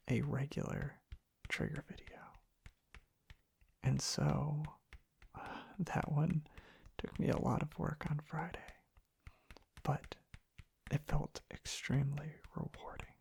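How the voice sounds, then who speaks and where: A man softly rambling in an ASMR style, hushed and halting, drifting into whispers close to the mic. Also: on the high side, creaky, breathy edge. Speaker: ASMR video creator. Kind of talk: soft-spoken ASMR ramble. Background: tapping and clicking.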